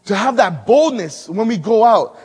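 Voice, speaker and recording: A man from Hawaii preaching at a conference, building to loud emphatic peaks and dropping to hushed asides. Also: animated, normal speed, husky, long reverb tail. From Hawaii (Maui). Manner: emphatic, swings between loud rising build-ups and hushed low asides. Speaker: guest preacher. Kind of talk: conference sermon with storytelling.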